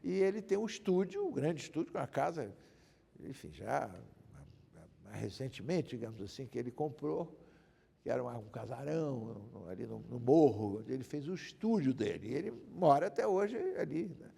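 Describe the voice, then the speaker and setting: Gravelly older Carioca man reminiscing. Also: medium pitch, very animated, moderate pace, warm tone, in a large hall. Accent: Carioca Brazilian Portuguese. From Rio de Janeiro, Brazil. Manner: rambling, repeats himself, frequent "né?". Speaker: guest lawyer. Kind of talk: interview storytelling.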